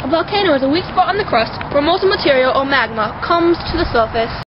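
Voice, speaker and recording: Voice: high-pitched, expressive, fast, dull audio. A child or young teen student presenting a scripted school film on a homemade recording. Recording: very noisy homemade video sound.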